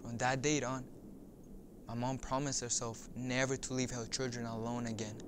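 An earnest son of a farmworker mother tells his memories played by a young man. He speaks in measured phrases with pauses, at a medium pitch. A faint, steady noise sits behind him. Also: close to an everyday voice. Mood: earnest reminiscence. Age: young adult. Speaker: actor in an oral history dramatization. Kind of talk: dramatized first-person monologue.

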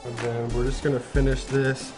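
A young man walks through a recipe in his own home kitchen, chatting casually as he works. His pitch sits in a middle range, and the narration stays relaxed and practical. Sizzling from a frying pan runs behind his voice. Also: moderate pace, over music. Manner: relaxed step-by-step narration while cooking. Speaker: cooking show host. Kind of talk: casual cooking demonstration.

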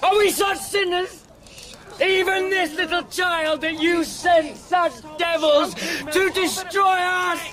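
An actor playing a distraught, half-mad curate who rants and repeats himself in a radio drama. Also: male voice, very high-pitched, steady tone, takes it slowly. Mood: ranting despair. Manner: ranting, declamatory, repeating phrases.

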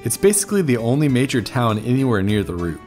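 A man in his thirties reads a scripted travel narration at a middle pitch, with faint guitar music under him. He explains and recommends plainly, like a guide talking to viewers. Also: big pitch swings, fast talker, a little mellow. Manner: informative, recommending tips to viewers. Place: voice-over recorded apart from footage.

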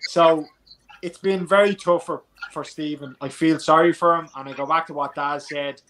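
A passionate Irish man talking football over a video call, pressing his points and hammering them home twice. His voice sits a touch high for a man. A steady hiss of noise runs under the call audio. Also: normal speed. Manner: passionate, repeats points for emphasis. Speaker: football pundit. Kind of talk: casual post-match chat.

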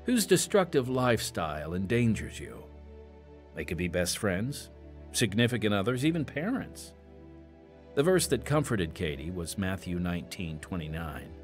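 A man hosting a scripted devotional radio program with emphatic, solemn, deliberate phrasing. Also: low voice, big pitch swings, faint music behind. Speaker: program host and announcer.

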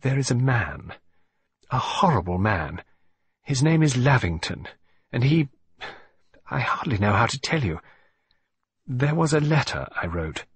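A man's voice: medium pitch, very animated, unhurried, long pauses, breathy edge, exaggerated voice. A man voicing a frightened young upper-class lady, hesitant and pleading. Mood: distressed. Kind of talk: audiobook reading.

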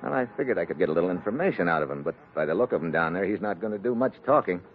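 An actor playing a tough, wry American secret agent in an old radio adventure, with sardonic, clipped delivery. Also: male voice, deep voice, lively intonation, rapid-fire. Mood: wry, probing. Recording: muffled, noisy old radio recording.

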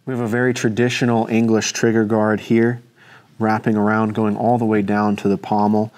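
Low-voiced young man describing antique pistols. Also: monotone, a little mellow. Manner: measured, detailed describing while handling objects. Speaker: firearms presenter. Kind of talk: informal product walkthrough. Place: indoor studio set at a table.

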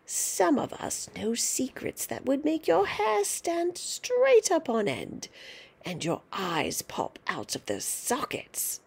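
A woman's voice: high voice, huge pitch swings, slow pace, gravelly, voice pushed up, full character voice. A woman doing a throaty, sinister old witch grandma. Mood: menacing. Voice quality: throaty whispering.

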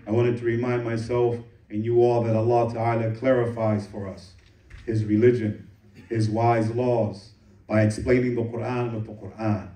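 A man giving a Friday sermon as imam, reciting Arabic verses quickly and translating them into English. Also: mid-range voice, level tone, mellow. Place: mosque prayer hall. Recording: echoey room, voice from a distance.

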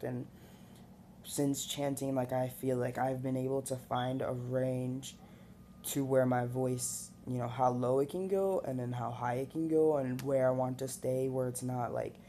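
Gravelly, hesitant young man on webcam. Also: medium pitch, dark tone, a little hiss or hum. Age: young adult. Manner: hesitant, many fillers and restarts. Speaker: vlogger. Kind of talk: casual rambling vlog update. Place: porch outdoors, seated on wicker chair.

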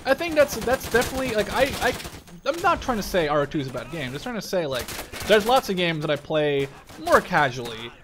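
Young adult male game commentator, slightly high-pitched, opinionated and sweary, casual delivery with clear game gunfire behind. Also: sing-song, a little fast. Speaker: gaming video creator giving live commentary. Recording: loud machine-gun game noise behind voice. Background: gunfire and clicks from a war game.

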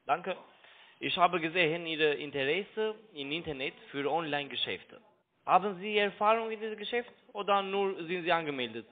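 A man with a foreign accent and non-native, halting German with broken grammar, making an unscripted sales call over the phone as a broker. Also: a little high, expressive. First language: not German. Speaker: cold caller posing as a broker. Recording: tinny narrow phone line.

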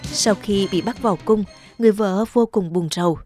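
A woman giving scripted storybook narration as the narrator of an animated folktale. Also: medium pitch, moderate pace, soft background music.

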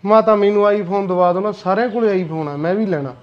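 Man as whiny spoiled son, high-pitched, fast, lo-fi sound. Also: slight background noise, lightly acted. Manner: whines and pleads. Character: spoiled young adult son, whiny and demanding.

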